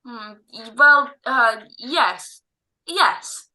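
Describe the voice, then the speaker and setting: A man acts out a flustered, soft-hearted father of the bride for a classic radio play. The voice is high and very sharp, blustery, tripping over repeated words. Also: lively intonation, extremely slow, full character voice, poor audio. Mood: anxious, gruff. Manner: blustering, hesitant with stammered repeats. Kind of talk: old-time American radio drama acting. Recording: online home recording.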